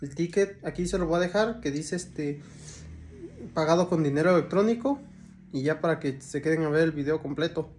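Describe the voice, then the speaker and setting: A man's voice: fairly high pitch, normal speed, a little mellow, slight background noise. Hesitant Mexican man, casual vlog. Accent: Mexican Spanish. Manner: hesitant, many fillers and restarts. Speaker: vlogger. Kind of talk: casual vlog talk to camera.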